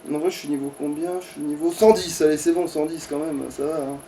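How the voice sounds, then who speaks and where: A man's voice: fairly high pitch, steady tone, quick pace, dark tone, far from the mic, a little hiss or hum, low-quality recording. A man chatting casually as he plays a video game, grumbling and swearing in an informal, conversational way. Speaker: let's play gamer, playing the game. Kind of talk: casual gaming chat, commentary.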